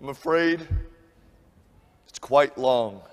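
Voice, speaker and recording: A middle-aged man on stage playing a grave newspaper man who addresses an anxious crowd, in projected, halting speech. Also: medium pitch, close to an everyday voice. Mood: grave, reluctant. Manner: loud, halting, solemn public announcing. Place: theatre stage, filmed from audience. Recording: long hall reverb, faint crowd noise.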